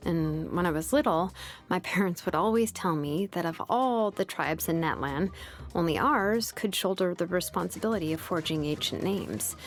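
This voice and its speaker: A woman voicing a laid-back, sleepy young female blacksmith and name engraver from a video game, languid and nonchalant with soft hesitations. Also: lowish voice, close to an everyday voice. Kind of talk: video game character voice lines. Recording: music behind the voice. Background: music.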